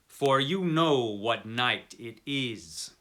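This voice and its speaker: A man doing a grave, slow-spoken hotel head waiter. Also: medium pitch, lively intonation, bright, forceful tone, heavily acted. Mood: grave warning. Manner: slow, grave, measured warning. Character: courteous older male German hotel head waiter, warning.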